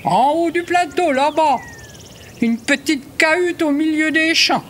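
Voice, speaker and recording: A woman playing a gruff, suspicious old country woman who grumbles bluntly, in a comedic audio drama. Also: high-pitched, a little slow, fairly bright tone, slight background noise. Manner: blunt, grumbling rural speech.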